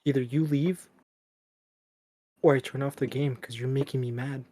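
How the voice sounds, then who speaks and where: A young man co-hosting a casual podcast, talking in a flat, deadpan monotone. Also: mid-range voice, normal speed, no room sound, studio quality. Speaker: podcast co-host. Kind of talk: casual chat.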